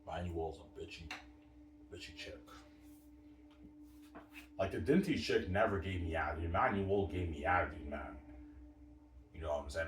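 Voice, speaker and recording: A man in his thirties telling a rambling personal story, repeating "obviously" and "you know what I'm saying" often. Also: sits low. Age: 30s. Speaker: vlogger. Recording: roomy and distant with faint background hiss.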